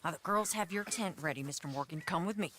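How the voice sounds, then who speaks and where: An actress playing a stern, bossy camp matron in a western video game, brisk and scolding. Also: female voice, on the low side, a bit bright, slight background noise, natural voice. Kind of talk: video game cutscene acting.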